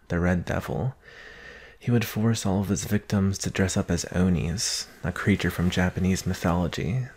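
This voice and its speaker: Slow, low, gravelly ASMR boyfriend, acted by a man. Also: full character voice. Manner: gentle, affectionate, musing with long pauses. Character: doting boyfriend, soft-spoken, teasing, analytical former forensics student. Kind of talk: intimate ASMR roleplay acting.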